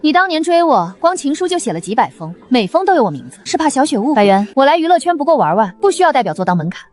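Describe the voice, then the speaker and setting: Woman doing snobby rich girl in a chinese audio drama, catty, high voice, talks quick. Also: very animated, a little mellow. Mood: condescending. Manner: snobbish, taunting, theatrical. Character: haughty young rich socialite woman, catty and scornful.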